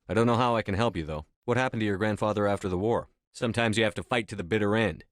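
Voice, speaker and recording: A man acting a stern, steady space-navy commander in a video game, with a measured, dry, authoritative delivery. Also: on the low side, dry recording, natural voice. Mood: firm, probing.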